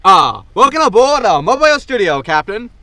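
A man's voice: high voice, very animated, normal speed, bright, forceful tone, a little hiss or hum, full character voice. An actor playing a brash, smug male reporter in a sci-fi comedy audio drama, with an insistent, theatrical way of interviewing. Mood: eager, shocked.

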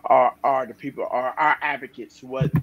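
A man's voice: medium pitch, fairly even intonation, normal speed, highs cut off. A man, a school psychologist, speaking earnestly on a video call, with rambling restarts. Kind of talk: panel discussion.

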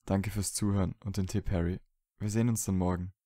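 A man acts out a young wizard in an audio drama, lovesick and a little sullen. His voice is low and mellow, the delivery dry and offhand, recorded close and dry. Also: level tone, brisk, no echo. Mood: subdued, grateful. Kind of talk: fan fiction audio drama acting.

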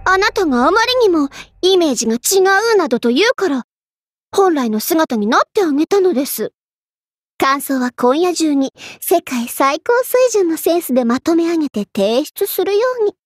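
A very high-pitched, girlish young queen's spirit for an anime game. She is haughty and vain, giving orders in an imperious, ladylike manner. The recording is dry and close. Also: animated, moderate pace, no room sound. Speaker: game voice actor. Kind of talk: anime game character voice lines.

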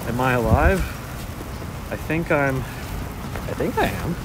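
A man from Russia talks casually as he walks outdoors in a snowstorm, his voice of middling pitch caught on a phone mic. Loud traffic and wailing sirens fill the background as he muses to himself and answers viewers. It sounds like a live stream from a busy city street. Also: animated, slow pace. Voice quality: musing, conversational, talks to himself while walking. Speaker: live-streaming walking vlogger. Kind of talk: casual live-stream chat, reading viewer comments aloud. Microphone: phone on a handheld gimbal.

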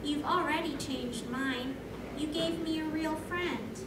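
Very high-pitched woman in an echoey room. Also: slow pace. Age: young adult. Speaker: convention panel co-host reading a part. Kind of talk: live comedic script reading with asides. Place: convention panel room stage. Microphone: far camera. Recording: echoey room from far off, noisy.

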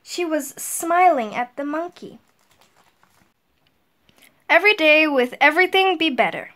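High-voiced middle-aged woman, slow and simple delivery for children, school counselor style. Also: lively intonation, a bit bright. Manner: deliberate, simple explaining to children. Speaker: elementary guidance counselor. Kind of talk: children's storybook read-aloud and talk to pupils. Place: indoors, small room at home. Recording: roomy echo, distant mic.

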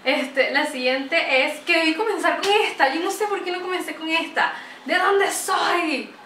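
A young woman from Venezuela with a Venezuelan accent, chatting to camera in a lively, talkative way, recorded in a small bright room. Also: very high voice, unhurried, far from the mic, a little hiss or hum. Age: young adult. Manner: chatty, animated, gestures a lot. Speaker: vlogger. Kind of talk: casual chat to camera. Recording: roomy echo, voice a bit off-mic.

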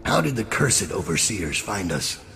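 An actor voicing a grim, guilt-ridden middle-aged master assassin in a video game, brooding, deliberate and menacing, with a gravelly voice. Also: male voice, low voice, big pitch swings, a bit bright, a little hiss or hum, heavily acted. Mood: gruff, commanding. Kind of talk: video game voice acting, dramatic monologue.

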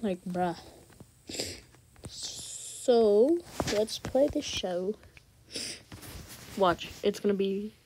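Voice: medium pitch, extremely slow, long pauses, darkish tone, a little hiss or hum, low-quality recording. A gamer giving casual, slangy gameplay commentary and often repeating words and phrases. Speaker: gaming video host.

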